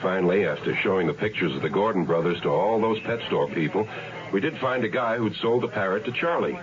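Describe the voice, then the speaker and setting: An actor playing a genial police detective in a radio drama, explaining things. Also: male voice, low voice, animated, fairly quick. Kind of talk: 1970s radio drama acting. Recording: dull radio drama recording.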